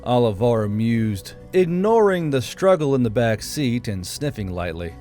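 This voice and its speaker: A man's voice: medium pitch, very animated, moderate pace, mellow. A man reading scripted fantasy narration as an audiobook narrator. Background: background music.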